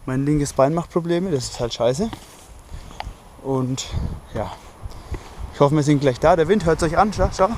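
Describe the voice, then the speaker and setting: A man's voice: on the high side, measured pace, pauses often, darkish tone. A man chatting casually and jokingly while filming himself outdoors at a windy lookout. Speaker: traveler filming a trip. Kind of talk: casual vlog chat.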